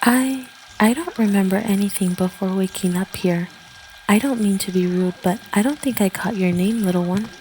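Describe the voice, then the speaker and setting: A woman playing a polite, gentle, newly awakened female android in an amateur sci-fi radio drama. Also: medium pitch, moderate pace, a little hiss or hum, lightly acted. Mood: confused, polite.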